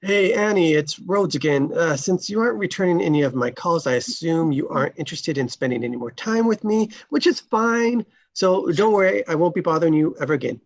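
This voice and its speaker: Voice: medium pitch, expressive, a little fast, hardly any pauses. A performer playing a hurt, proud male police officer leaving a phone message at a table read. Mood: hurt, curt. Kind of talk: comedy screenplay table read.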